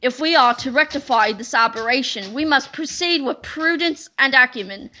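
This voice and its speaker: A pompous, scheming gym teacher, put on by a woman reading a storybook aloud. He orders his minions around with slow, sneering menace. The tone stays bright and clear. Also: sits high, pitched below the performer's own voice.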